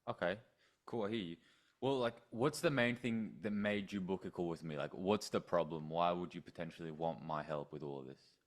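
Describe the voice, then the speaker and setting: A man with a deep, very low voice talks as a coach in a casual sales conversation. His phrasing is informal, with short words of agreement. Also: normal speed. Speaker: coach taking sales calls.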